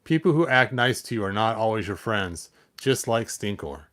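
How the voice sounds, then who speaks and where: A man's voice: lowish voice, expressive, moderate pace, fairly bright tone. A man reading aloud as a polite, helpful robot in a storybook, explaining things earnestly. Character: polite, helpful male robot friend with magic tricks.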